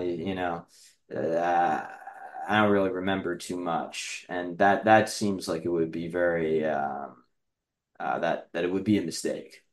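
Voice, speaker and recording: A man in his thirties with a low voice and an unhurried pace. He talks like a podcast host in casual, reflective conversation, halting often as he works out each thought. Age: 30s. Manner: hesitant, halting, thinking aloud.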